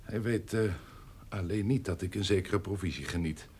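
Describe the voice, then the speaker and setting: An actor playing a slippery, corrupt privy councillor at an eighteenth-century court in a radio drama, insinuating and evasive. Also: male voice, deep voice, normal speed, darkish tone, breathy edge. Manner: slippery, insinuating, drops to murmurs.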